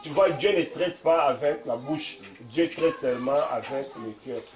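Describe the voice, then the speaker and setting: A man preaching informally in a home, emphatic and swinging from loud declarations to soft asides. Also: mid-range voice, a little slow, soft background music. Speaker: visiting preacher. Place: room in a private home. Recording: roomy echo.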